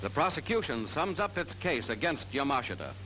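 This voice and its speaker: A man's voice: mid-range voice, big pitch swings, brisk, sharp tone, a little hiss or hum, poor audio. A man reads scripted 1940s newsreel narration in a formal, declarative announcer style on a vintage soundtrack.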